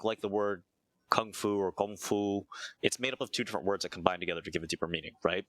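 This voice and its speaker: Low-voiced American man, reflective. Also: pushed and bright, no echo. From United States. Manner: measured, thinks aloud with frequent "uh" and "right?". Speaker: interview guest, martial arts teacher. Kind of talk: reflective conversational explanation.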